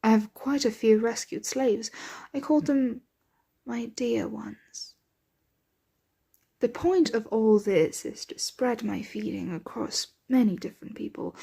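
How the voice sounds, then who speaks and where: Woman as a breathy, whispering motherly vampire lady. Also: a little high, exaggerated voice. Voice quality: soft, breathy, often whispered. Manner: tender, coaxing, genteel, unhurried. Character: gentle, motherly, wealthy female vampire soothing her captive. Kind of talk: ASMR roleplay.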